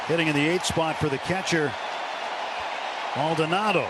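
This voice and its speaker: A man calling baseball play-by-play on radio, steady between pitches and rising on big plays. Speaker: play-by-play announcer. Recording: noisy ballpark broadcast.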